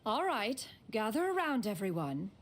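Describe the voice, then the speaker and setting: Woman as haughty, stately immortal master, very expressive. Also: mid-range voice, normal speed, faint music behind, natural voice. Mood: commanding. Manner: imperious, archaic formal diction, calls herself "one". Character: proud, stately female immortal crane master, haughty and formal. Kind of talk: dramatic video game voice acting.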